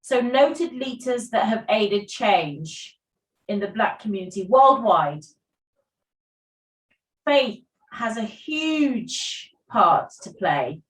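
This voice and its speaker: A woman chairing a community meeting, chatty and quick to laugh, sometimes losing her thread. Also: on the high side, animated. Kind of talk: casual meeting chat. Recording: roomy, distant from the mic.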